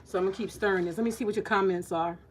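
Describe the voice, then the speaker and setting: A woman's voice: mid-range voice, steady tone, very fast. Forties woman, retired teacher type, loose swearing cooking-vlog chatter, light hiss behind. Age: 40s. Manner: candid, joking, swears freely, talks to viewers. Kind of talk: casual cooking vlog chat. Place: home kitchen.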